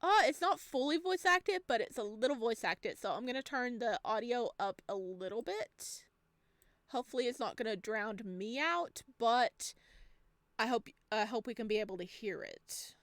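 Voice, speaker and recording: A woman reading a video game's text aloud and chatting with expressive, excited reactions as she plays. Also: on the high side, normal speed, no room sound. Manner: chatty, expressive asides between read lines. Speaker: video game streamer, let's player. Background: game music.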